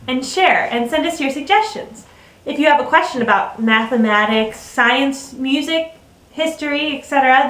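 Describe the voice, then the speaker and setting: A woman's voice: sits high, fairly bright tone. A female co-host giving a dramatic reading of a storybook. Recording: echoey room, distant microphone.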